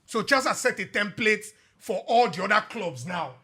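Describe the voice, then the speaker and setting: Lively, husky Nigerian man. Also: sits high, moderate pace. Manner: animated, excitable, laughs mid-sentence. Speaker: podcast co-host. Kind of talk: casual chat.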